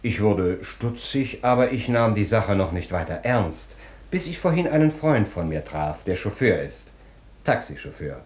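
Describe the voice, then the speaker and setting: An obsequious hotel porter in a radio play, sounding roomy and reverberant. His voice is fairly low. He talks deferentially, with insinuating, gossipy turns. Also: very animated, measured pace, darkish tone. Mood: confiding, insinuating. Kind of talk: German radio play acting.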